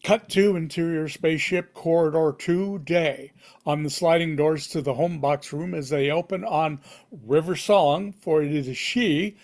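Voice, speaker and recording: A man narrates a screenplay for a table read. His voice sits a bit higher than average for a man. He delivers scene headings and action in short, punchy, dramatic phrases. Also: measured pace, darkish tone. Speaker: stage-direction reader at table read. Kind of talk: reading screenplay directions aloud.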